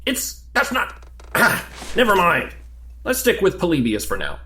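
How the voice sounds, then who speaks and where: Male rookie agent played by a man, audio drama. Higher-set, very animated, questioning, flustered, conversational. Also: a little slow, exaggerated voice. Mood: exasperated. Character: talkative, curious, exasperated new male government agent.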